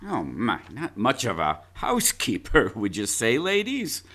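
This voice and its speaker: An actor playing a brisk, patronizing male county attorney in a live radio play, recorded at home over a video call. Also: medium pitch, wildly swooping pitch, noisy background. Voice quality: patronizing, chuckling. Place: home closet or desk. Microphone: home mic over video call.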